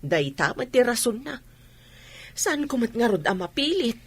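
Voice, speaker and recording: Woman playing a husky, fretful village mother. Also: on the high side, very animated, normal speed, no room sound. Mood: nagging, resigned. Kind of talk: radio comedy drama dialogue.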